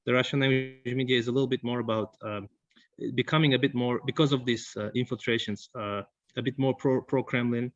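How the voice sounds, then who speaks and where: A young man, giving a long, halting comment at a book discussion, full of fillers and restarts. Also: medium pitch, fairly even intonation. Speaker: audience member at a book discussion. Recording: dull lo-fi recording.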